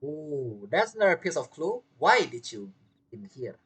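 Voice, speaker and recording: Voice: medium pitch, very animated, a little slow, fairly bright tone, slightly rough. A game streamer giving casual gameplay commentary, reacting and thinking aloud. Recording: faint game music behind.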